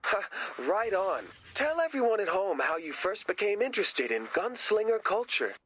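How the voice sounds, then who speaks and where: A man's voice: high-pitched, big pitch swings, moderate pace, a bit bright, a little hiss or hum. A man playing a cocky, self-promoting rock drummer in a video game, presenting like a grandiose TV host with dramatic emphasis. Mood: showy, upbeat. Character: cocky, self-promoting male rock drummer hosting his own show.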